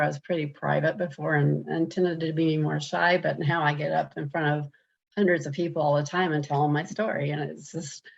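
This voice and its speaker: A woman taking part in a program, answering interview questions over a video call in an earnest, halting way with many restarts. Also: sits low, gravelly, darkish tone, lo-fi sound. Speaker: program participant.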